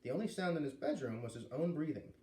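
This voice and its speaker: A man reading a children's novel aloud in an expressive storytelling style. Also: fairly high pitch, fast. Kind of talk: read-aloud storytelling with acted dialogue. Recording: roomy, distant mic with light noise.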